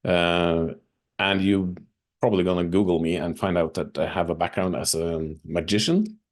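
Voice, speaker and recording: Deep-voiced Norwegian man, hesitant. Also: steady tone, normal speed. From Norway. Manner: hesitant, many fillers. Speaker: presenter. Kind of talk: presentation over a video call. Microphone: video call.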